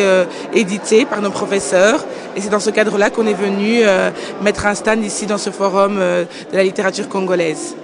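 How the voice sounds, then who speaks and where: A woman's voice: mid-range voice, background noise. A young woman from Belgium, co-founder of a language school, answering interview questions in an earnest, articulate way. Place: indoor book fair hall. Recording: busy crowd chatter behind.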